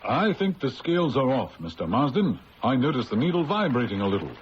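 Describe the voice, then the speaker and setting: An actor playing a nervous, stammering male assistant in a 1970s radio drama, his pitch jumping up when he is scared. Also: very animated, brisk, close to an everyday voice. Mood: eager. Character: nervous male assistant, jittery, easily cornered. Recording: lo-fi old radio broadcast with hiss.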